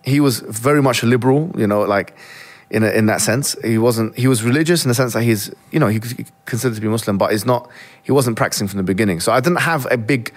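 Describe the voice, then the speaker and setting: Fast-talking earnest man, reflective. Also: medium pitch. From Egypt. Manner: earnest, reflective, with many restarts. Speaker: guest. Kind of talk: interview conversation.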